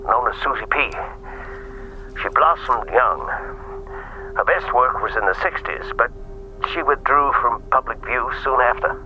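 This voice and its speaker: An actor playing a reserved, faintly wistful older retired agent, speaking in measured phrases over a phone in a radio drama. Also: male voice, medium pitch. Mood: matter-of-fact, wistful. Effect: telephone filter. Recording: tinny, noisy phone line.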